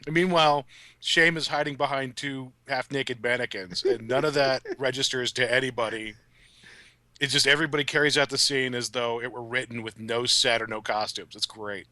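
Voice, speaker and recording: A man's voice: mid-range voice, fairly even intonation, moderate pace, pushed and bright, dry recording. A man talking analytically and casually as a podcast commentator.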